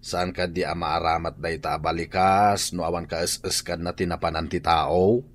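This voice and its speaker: An actor with an Ilocano accent playing an exasperated, nagging husband who scolds reproachfully in a radio drama. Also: male voice, deep voice, little pitch movement, normal speed, no echo.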